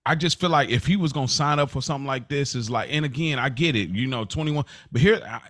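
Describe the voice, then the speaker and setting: Earnest mid-pitched man debating, dry sound. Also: fairly bright tone, no echo. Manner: halting, earnest, restarts and repeats his point. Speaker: podcast co-host. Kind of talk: casual podcast debate.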